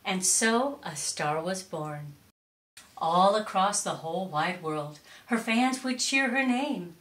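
A middle-aged woman reading a children's storybook expressively, with deliberate, theatrical emphasis in a roomy space. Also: on the low side, animated, fairly bright tone. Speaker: storytime reader. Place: indoors, curtained room or small stage. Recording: roomy echo on voice.

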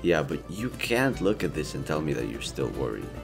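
Male actor as young elf leader, low voice, playful, earnest, teasing, romantic audio drama, faint background music. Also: moderate pace, creaky, heavily acted. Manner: casual, teasing, expressive. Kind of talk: intimate romantic roleplay acting, conversational.

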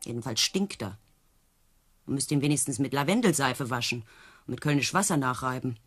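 A scornful circus horsewoman in a radio play. Her voice is low and husky, her delivery dry and mocking with lively swings in pitch. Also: fairly quick, faint music behind, heavily acted. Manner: dry, sardonic. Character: mocking, discontented female circus trick rider.

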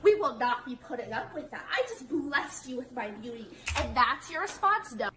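A young woman with a high voice that swoops up and down, lecturing her viewers with sassy emphasis. The clip is played inside a reaction video. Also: big pitch swings, moderate pace, noisy background. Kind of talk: social media video monologue.

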